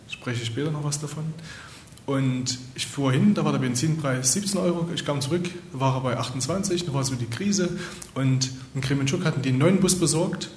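Guy telling his mission story to the church, rambly, mid pitch, echoey hall w a low rumble. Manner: plain, rambling storytelling with fillers. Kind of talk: personal testimony to a congregation. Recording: echoey room with faint rumble.

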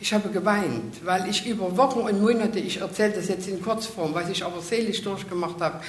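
A woman sharing an earnest, emotional personal testimony before a congregation. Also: brisk. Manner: earnest, emotional, faith-filled storytelling. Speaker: church member giving testimony. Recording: echoey room with faint rumble.

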